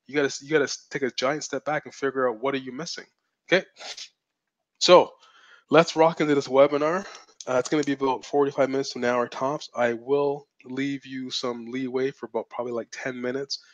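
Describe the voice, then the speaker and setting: A man's voice: mid-range voice, steady tone, fairly quick, no echo. A blunt, assertive man mentors viewers through a live webinar, hammering points home by repeating them. His voice is strained and cracks often. The stream sound is dull and lo-fi, with a dry, close feel. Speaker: webinar host and business mentor.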